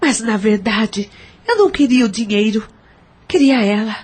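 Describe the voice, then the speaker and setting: A woman's voice: on the high side, lively intonation, normal speed, darkish tone, breathy edge. A Brazilian woman playing a troubled, regretful estranged mother in an audio drama. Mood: confessing, regretful.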